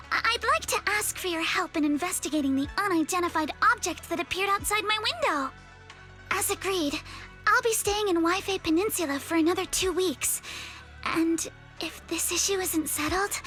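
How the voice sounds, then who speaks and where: A woman's voice: very high-pitched, bright, forceful tone, exaggerated voice. A woman playing a polite, formal young heiress with careful, proper phrasing in an anime-style game.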